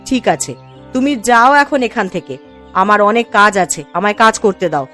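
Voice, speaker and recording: A woman's voice: mid-range voice, expressive, a little fast, a bit bright, faint music behind, lightly acted. A woman voicing a dignified, principled village wife in a cartoon, firm and admonishing. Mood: firm, reproving. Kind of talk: cartoon story dialogue.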